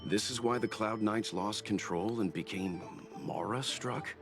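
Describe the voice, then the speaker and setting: An actor playing a serious, perceptive man in a fantasy video game, speaking deliberately over game music. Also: on the low side, gravelly. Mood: serious, deducing. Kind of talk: scripted video game dialogue. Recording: game music under the dialogue.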